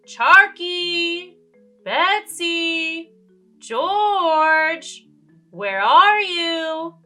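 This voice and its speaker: A woman voicing an excitable, eager young boy in a children's storybook, loud and exclamatory. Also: extremely high pitch, extremely slow, faint music behind, voice pushed up, heavily acted. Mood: alarmed, shouting. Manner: calling out loudly, exclamatory.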